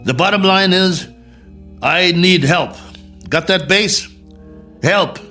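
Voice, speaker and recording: A stranded, wry astronaut, higher-pitched. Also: male voice, takes it slowly, bright, forceful tone, full character voice. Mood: exasperated, pleading. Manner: terse, sardonic, clipped reporting. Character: stranded injured astronaut colonel, weary, wry and stubborn. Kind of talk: radio drama acting. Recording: radio drama with music underneath.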